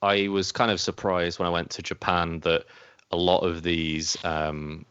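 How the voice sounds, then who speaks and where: Male podcast co-host, crisp forward low voice, casual chat, dry and slightly lo-fi sound. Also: level tone, unhurried, fairly bright tone, no room sound. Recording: dull, lo-fi but dry recording.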